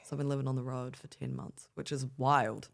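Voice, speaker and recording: A young woman from New Zealand with a New Zealand accent, chatting as a guest musician in a relaxed way, with frequent ums and easy laughs. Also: rumbling low, a little fast, vocal fry. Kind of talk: casual interview chat.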